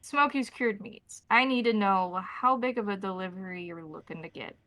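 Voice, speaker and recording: A woman voicing a gruff, blunt, familiar smoked-meat shop man who works as a shady contact in a tabletop game. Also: medium pitch, moderate pace, bright, forceful tone, dry recording, natural voice. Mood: businesslike.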